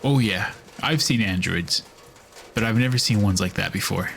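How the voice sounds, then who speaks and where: A man voicing an excitable, incredulous sidekick in an amateur radio drama, jumpy and profane. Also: lowish voice, moderate pace, creaky, a little hiss or hum. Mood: astonished.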